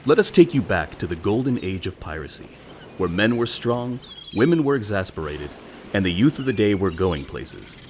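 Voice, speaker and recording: A man's voice: fairly low pitch, big pitch swings, moderate pace, a little mellow, slight background noise. A man narrating as the announcer of a radio play in a theatrical old-time style.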